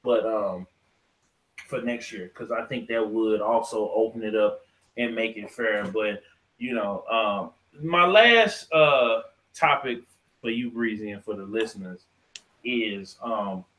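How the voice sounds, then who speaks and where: A man's voice: lowish voice, takes it slowly, sharp tone. A man hosting a podcast in an informal, slangy way, chatting casually and reading through lists. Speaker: podcast host.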